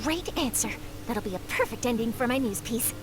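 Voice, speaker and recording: A woman playing an eager, expressive young news reporter in an anime-style video game. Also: a little high, brisk, music in the background, lightly acted. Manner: expressive, bubbly, inquisitive.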